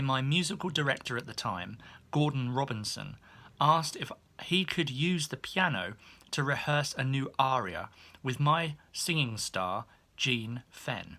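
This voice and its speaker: Bright, lively male audiobook narrator. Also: medium pitch. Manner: expressive storytelling. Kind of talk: scripted narration of a humorous first-person memoir.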